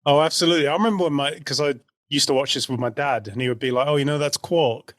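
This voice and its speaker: Higher-pitched man chatting on a podcast. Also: fast talker, fairly bright tone, no room sound, clean studio sound. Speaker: podcast co-host. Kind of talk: casual chat.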